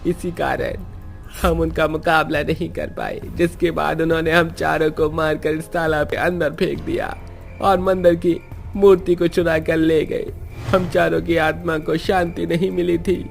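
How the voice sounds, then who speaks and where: A man's voice: a little high, level tone, measured pace, creaky, faint music behind. A man playing a brooding, vengeful ghost boy in a horror story, speaking in a dramatic, ominous way.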